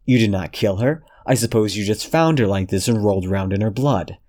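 A man voicing a cold, controlled detective who speaks in a measured, menacing way. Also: on the low side, a little mellow, lightly acted.

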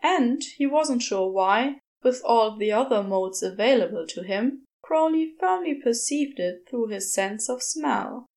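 A woman narrates fiction from a script. Her voice sits fairly high and she reads at a very slow, measured pace. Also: expressive, a little mellow. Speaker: podfic reader.

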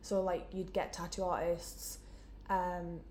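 A woman's voice: lowish voice, little pitch movement, slow pace, darkish tone. Geordie girl chatting about her plans, lots of likes and ums, bit of faint background noise. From Newcastle, England. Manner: chatty, many fillers like "like" and "um". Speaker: interview guest. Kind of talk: casual interview answers. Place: indoor room, in person.

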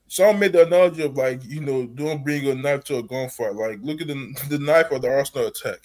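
Male panelist, middle pitch, blunt casual delivery. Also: fast, a little mellow, low-quality recording. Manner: blunt, casual slang, laughs mid-sentence. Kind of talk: casual football chat.

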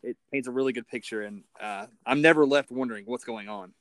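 A man interviewing a guest in a casual, halting way, as a sports fan. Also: mid-range voice, a bit bright, no echo.

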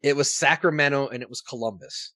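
A man's voice: mid-range voice, a little fast, bright, forceful tone, no room sound. An American man co-hosting a casual sports podcast, opinionated and fond of putting rhetorical questions.

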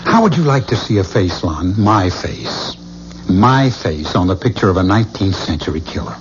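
An actor in a 1970s radio drama playing an anguished, haunted man who believes he is a killer reborn, with intense delivery and weighted pauses. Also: low voice, sing-song. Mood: desperate, urgent. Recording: dull, noisy 1970s radio recording.

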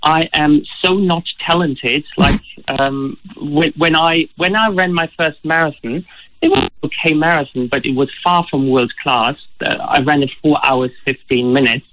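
A man's voice: a little high, normal speed, a bit bright, low-quality recording. A man from Germany with a German accent, a guest in an interview, answering earnestly and often repeating words as he thinks. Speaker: guest, ultra runner.

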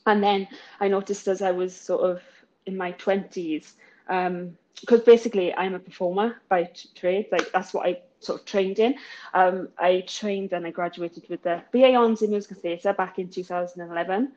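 A woman's voice: lowish voice, fairly even intonation, moderate pace, a little mellow, dull audio. A woman in her thirties with a Welsh accent, chatting casually in an interview with lots of fillers and giggles. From South Wales. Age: 30s. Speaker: interviewee, new model.

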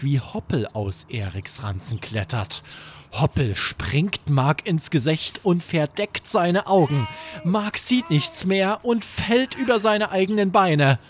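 A man narrating a scripted children's bedtime story as podcast host, with expressive, dramatic storytelling. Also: mid-range voice, a little hiss or hum.

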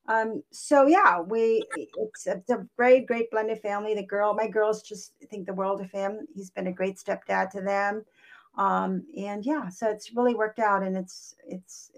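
A woman from Hawaii, a guest life coach in an interview, speaking earnestly and reflectively. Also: mid-range voice. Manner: earnest, reflective, many fillers and restarts. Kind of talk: interview conversation.

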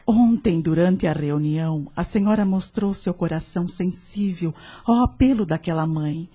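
An actress playing a calm, wise female spiritual counselor in a radio drama, measured, formal and gently probing. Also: fairly low pitch, slightly rough. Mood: firm, encouraging. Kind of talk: radio soap opera acting.

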